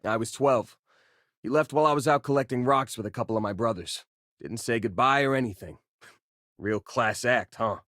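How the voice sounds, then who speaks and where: Young American space miner in a game: easygoing, wry wisecracker, conversational, mid-pitched, dry close sound. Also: male voice, dry recording. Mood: wistful, candid. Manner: casual, wry, joking. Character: easygoing, wisecracking male space miner who collects rocks. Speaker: video game voice actor. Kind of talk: conversational video game character dialogue.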